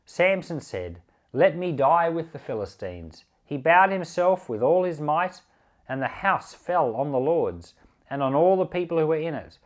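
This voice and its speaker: A man reading scripture aloud for a podcast in a measured, deliberate, expressive storytelling manner. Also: a little high. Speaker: pastor and Bible reader.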